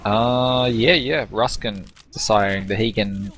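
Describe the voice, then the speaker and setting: A male esports caster with a fairly low voice talks through the match in an analytical, halting way. He restarts phrases as he thinks. A faint noise floor sits under the recording. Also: steady tone, fairly bright tone. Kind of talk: game commentary.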